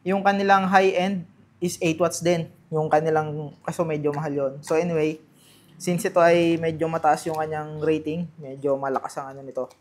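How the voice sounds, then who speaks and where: Higher-voiced Filipino man, casual vlogger. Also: flat delivery, darkish tone. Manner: halting, thinking aloud while handling items. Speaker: vlogger presenting product unboxing. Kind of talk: casual unscripted unboxing commentary.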